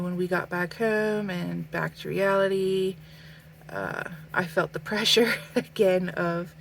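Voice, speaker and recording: Woman vlogger, slow and reflective, slightly low voice, sniffly, faint hiss behind. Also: gravelly. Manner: slow, thoughtful, with many fillers. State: sniffly with a stuffy nose. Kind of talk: casual talk while drawing.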